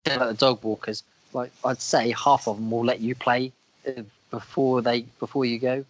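Man, croaky voice, casual podcast co-host, chatty and self-deprecating. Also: mid-range voice, flat delivery, normal speed, slight background noise, low-quality recording. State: croaky voice from a cold. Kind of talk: casual chat.

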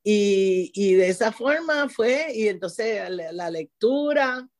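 A woman's voice: medium pitch, animated, slow pace, a little mellow. Woman, middle-aged, Puerto Rican accent, interview guest, frequent restarts and tag questions. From Puerto Rico. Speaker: guest, teacher and author. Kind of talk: conversational interview.

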